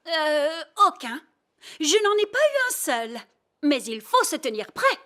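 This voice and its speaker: High-pitched patient lady rabbit. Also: very animated, a little slow, pushed and bright, heavily acted. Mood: resigned. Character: patient, dutiful female rabbit firefighter. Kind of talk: French-dubbed preschool cartoon acting.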